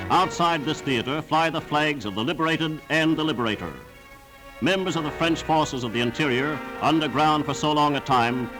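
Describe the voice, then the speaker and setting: Man, 1940s newsreel narrator, somewhat high voice, emphatic scripted delivery, vintage soundtrack, clear musical backing. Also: rough recording. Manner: declamatory, formal, emphatic.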